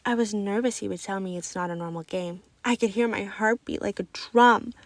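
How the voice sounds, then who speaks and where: A woman reading a children's book aloud in an expressive, animated storytelling style. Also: mid-range voice, moderate pace, no echo. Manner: animated, acts out children's dialogue.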